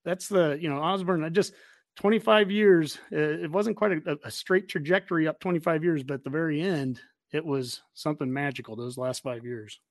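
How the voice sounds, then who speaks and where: Male sports podcast host, American, slightly high voice, emphatic and opinionated, close dry sound. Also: normal speed, dry recording. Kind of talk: casual sports discussion.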